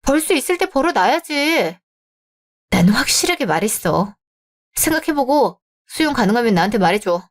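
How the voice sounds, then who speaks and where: A woman's voice: a little high, very animated, normal speed. A young woman reading a radio drama story and playing its heroine, firm and insistent with a hurt edge. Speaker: narrator and heroine.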